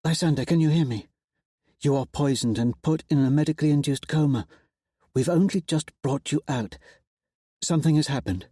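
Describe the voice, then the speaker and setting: A man voicing a worried female ship captain, speaking in rushed, urgent bursts. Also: fairly high pitch, warm tone, breathy edge, no room sound, clean studio sound, voice pushed up. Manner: rushed, pleading.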